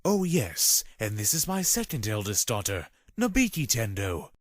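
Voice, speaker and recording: Man as a very expressive, formal father. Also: lowish voice, normal speed, no echo, clean studio sound. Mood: apologetic. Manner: formal, placating. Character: courteous, fussy middle-aged father of daughters. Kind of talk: fan audio drama acting.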